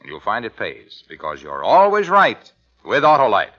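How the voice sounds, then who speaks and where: A man's voice: medium pitch, wildly swooping pitch, moderate pace, bright, forceful tone. A man reading a vintage radio commercial in an emphatic salesman's manner. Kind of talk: scripted 1940s-50s radio ad. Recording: dull vintage radio recording.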